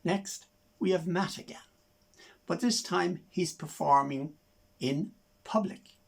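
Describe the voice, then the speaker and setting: Older irish guy reading poems and introducing acts, slow talker, raspy husky voice. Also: fairly high pitch. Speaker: host introducing songs and reading his own poem. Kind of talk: poetry reading and scripted introductions.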